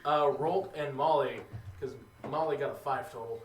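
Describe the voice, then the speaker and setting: A man runs a tabletop game in a loose, halting way, filling gaps with "uh" and "like". His voice sits at a middle pitch. He is picked up from a distance in an echoey room, with faint background noise. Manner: casual, hesitant with many fillers. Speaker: game master.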